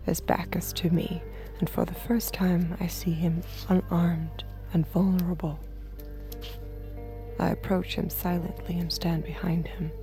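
A woman playing a conflicted, obedient android girlfriend in an audio drama, narrating intimately in a hushed voice that often slips to a whisper. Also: fairly low pitch, little pitch movement, a little slow, close to an everyday voice. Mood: hushed, tense. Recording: music behind the voice.

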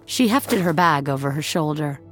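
A woman narrating scripted suspense fiction in a measured, dramatic storytelling manner. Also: sits low, expressive, a bit bright, faint music behind.

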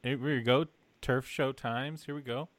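A man's voice: medium pitch, steady tone, measured pace, no room sound. A man co-hosting a podcast with casual, crude sports banter. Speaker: podcast co-host.

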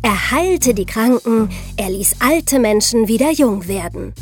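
A woman's voice: on the high side, expressive, measured pace, faint music behind. A woman, co-hosting a podcast and telling history in a conversational storytelling style. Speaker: podcast co-host.

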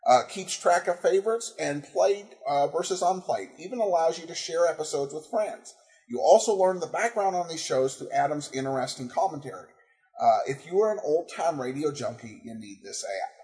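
A man hosting a podcast, speaking informally in a halting, hesitant way as he reads and answers listener feedback. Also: on the high side, expressive, darkish tone, rough recording. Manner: halting, hesitant, breaks up phrases. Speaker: podcast host.

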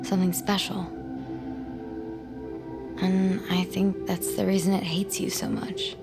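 A woman's voice: medium pitch, little pitch movement, a little slow, creaky, over loud music. An actress playing a practical, guarded woman helping investigate the occult in an audio drama. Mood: uneasy, confiding.

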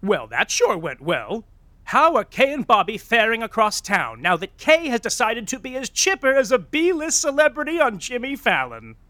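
A man's voice: very high voice, wildly swooping pitch, normal speed, sharp tone, heavily acted. A man playing a gossipy, teasing narrator of an audio comedy, arch and playfully sarcastic in a theatrical way. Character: gossipy, teasing, catty storyteller of backstage Broadway.

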